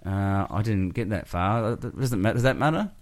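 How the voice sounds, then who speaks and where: A man's voice: lowish voice, animated, moderate pace. A man co-hosting a casual sports podcast, chatting and reading news items aloud with jokes. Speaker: podcast co-host.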